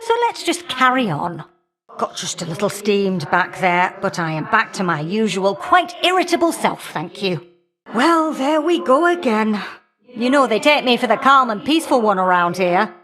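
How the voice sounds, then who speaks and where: A woman's voice: mid-range voice, animated, normal speed, a bit bright, natural voice. A woman playing an irritable, motherly old goddess in a video game, scolding and teasing in a folksy way with old-fashioned endearments. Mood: calming down, wry. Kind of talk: video game character dialogue.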